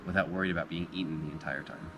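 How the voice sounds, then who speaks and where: A slightly hoarse man giving a thoughtful, upbeat end-of-day recap at an outdoor backpacking campground. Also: deep voice, fairly even intonation, racing, music in the background. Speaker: backpacking vlogger. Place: outdoor backcountry campground by a river. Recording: outdoor recording with clear background noise.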